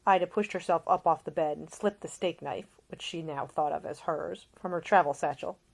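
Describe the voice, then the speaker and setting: A woman's voice: deep voice, moderate pace, gravelly. A woman reading scripted audiobook narration, an author telling their own story.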